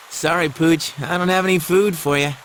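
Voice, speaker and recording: A man voicing a brash, moody high school boy in an anime game, talking in casual, expressive slang. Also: normal speed, a little hiss or hum, natural voice. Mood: casual, surprised.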